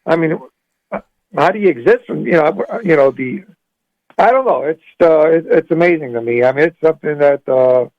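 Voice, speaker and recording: A man phones in to a talk show over a dull, lo-fi line. His voice is a little high and the sound is dry. He rambles casually, hesitating and doubling back. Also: lively intonation, normal speed, no room sound. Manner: rambling, hesitant, repeating himself. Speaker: phone-in caller. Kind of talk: casual chat. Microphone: phone call. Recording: dull lo-fi radio call.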